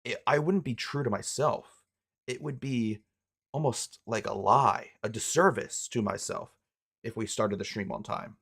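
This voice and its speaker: A young man with a raspy voice from being sick, chatting casually as a streamer, rambling and poking fun at himself. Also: medium pitch, a little slow, a bit bright. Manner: rambling, self-mocking, restarts phrases. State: hoarse from illness.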